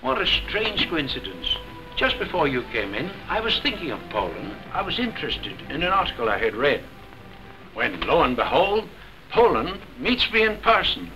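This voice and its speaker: A man's voice: on the high side, big pitch swings, moderate pace, poor audio. A middle-aged actor playing an English pub darts skipper and father, jovial and a bit patronising, in a 1940s film. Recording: 1940s film soundtrack with music behind.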